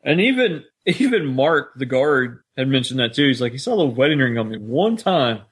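A man's voice: medium pitch, very animated, moderate pace, breathy edge. Man co-hosting a casual podcast. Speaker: podcast co-host. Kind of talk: casual discussion of an audio drama.

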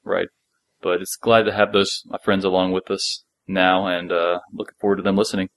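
A man's voice: low-pitched, flat delivery, normal speed, fairly bright tone. A man co-hosting a podcast in casual chat, talking in an informal, rambling, jokey way. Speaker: podcast co-host. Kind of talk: casual chat about an audio drama.

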